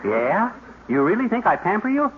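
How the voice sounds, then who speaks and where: A blustering show-off husband on 1950s radio. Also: male voice, high voice, very animated, moderate pace. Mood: eager. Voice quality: blustery, malaprop-prone. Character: boastful bumbling middle-aged husband, eager show-off. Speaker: radio sitcom lead. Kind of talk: 1950s radio sitcom acting. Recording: tinny hissy 1950s radio broadcast.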